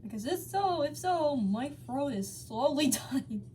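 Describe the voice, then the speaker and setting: A woman's voice: high voice, expressive, unhurried, warm tone, a little hiss or hum. A woman hosting a dramatic fan fiction reading, theatrical but casual, sometimes stumbling over words. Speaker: story reader, channel host.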